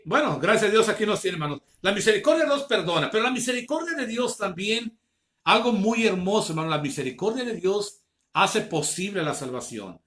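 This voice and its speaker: A man, preaching an online Bible class, earnestly calling his listeners brothers and slowing down to read scripture. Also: sits high, pushed and bright. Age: 50s.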